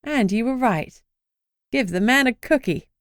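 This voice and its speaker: A woman's voice: fairly high pitch, sing-song, brisk, no room sound, studio quality, voice pushed up. Woman voicing a brash, cheeky young heroine. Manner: emphatic, irreverent.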